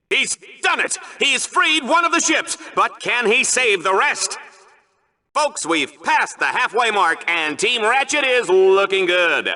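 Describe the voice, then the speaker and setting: A man's voice: extremely high pitch, sharp tone, heavily acted. A man voicing a smug, cowardly show-off arena commentator in a video game, theatrical and sarcastic in an over-the-top game show style. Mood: excited, sarcastic. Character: smug, hammy male sci-fi arena sportscaster, cowardly show-off. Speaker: sports commentator.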